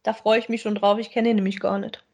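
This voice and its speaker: A woman's voice: medium pitch, flat delivery, fast, a little mellow, husky. A woman chatting casually as co-host of a podcast that reviews audio dramas.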